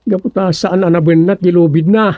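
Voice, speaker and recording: A man's voice: high-pitched, fairly even intonation, fairly quick, mellow, no echo, clean studio sound, lightly acted. A man playing a doubtful, questioning son in an Ilocano radio comedy, speaking in a plaintive way. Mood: worried.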